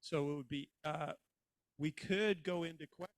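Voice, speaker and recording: Man with a smooth, resonant voice. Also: on the high side, moderate pace. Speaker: meeting moderator. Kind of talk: casual group moderation.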